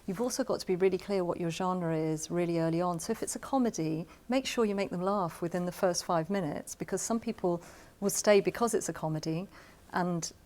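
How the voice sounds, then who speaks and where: Lower-pitched woman giving practical advice. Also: brisk, a little mellow. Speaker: radio drama producer giving writing advice. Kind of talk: spoken advice to camera in an interview.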